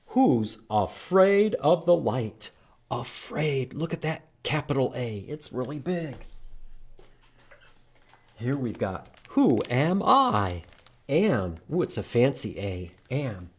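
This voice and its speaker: Slow-paced, animated male children's storytime host. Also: medium pitch, husky. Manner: simple short phrases with sing-song emphasis, addressed to young children. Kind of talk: children's read-aloud and letter lesson.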